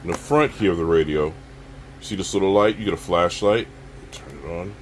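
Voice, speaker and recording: A man with a low voice talks through a product in a casual, halting way, addressing viewers directly as a reviewer. There is clear steady noise behind him, like a room hum.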